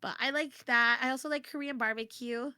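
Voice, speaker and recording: A woman's voice: high-pitched, steady tone, a little fast, pushed and bright, clean tone, dry recording, studio quality. An American woman chatting casually and expressively as a guest on an interview stream. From United States. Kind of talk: casual chat.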